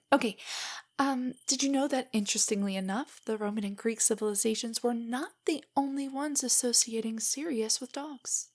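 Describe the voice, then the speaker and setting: A young woman playing a nervous, nerdy, affectionate girlfriend in an intimate roleplay audio, speaking softly and breathily in a giggly, rambling way. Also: fairly high pitch, normal speed, natural voice. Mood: eager, nerdy. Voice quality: breathy, often near a whisper. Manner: rambling, giggly, hesitant, explaining trivia. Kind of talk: roleplay audio, intimate soft monologue to a listener.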